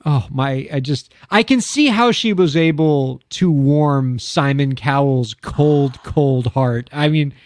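A man's voice: medium pitch, takes it slowly, hardly any pauses, dry recording. A man hosting a radio interview show, enthusiastic and effusive with his guest. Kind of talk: casual interview chat.

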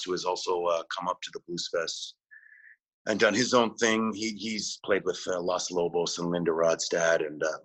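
A man with a very low voice and a bright, forceful edge talks about his music. He answers interview questions in a relaxed, conversational way, stopping often with fillers and repeating words as he goes. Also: rough recording. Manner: hesitant, many fillers and restarts. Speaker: musician guest.

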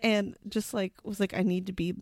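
A woman's voice: mid-range voice, little pitch movement, moderate pace, no room sound, clean studio sound. A woman co-hosting a podcast in casual chat, with a slightly congested sound. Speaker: podcast co-host.

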